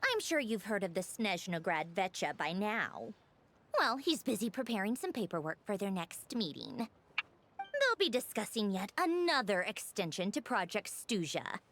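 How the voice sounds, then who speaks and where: Poised, expressive, teasing woman. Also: mid-range voice, moderate pace, a little hiss or hum. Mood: teasing, amused. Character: poised, teasing, self-assured woman, knowing gossip over tea. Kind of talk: video game dialogue acting.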